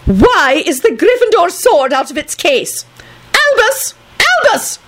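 A woman's voice: very high-pitched, very animated, takes it slowly, fairly bright tone, voice pushed up, full character voice. A woman voicing a strict older woman professor in a storybook reading, shrieking, haughty and snappish. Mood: shrill anger.

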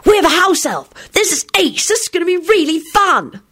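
A woman voicing an excitable, gleeful boy in a storybook reading. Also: extremely high pitch, a little fast, pitched above the performer's own voice, heavily acted.

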